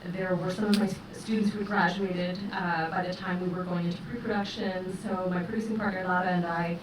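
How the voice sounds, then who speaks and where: A middle-aged woman, a film director speaking English as her first language, giving measured, thoughtful answers with many fillers in a festival interview. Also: on the low side, fairly even intonation. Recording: roomy, distant mic with noise.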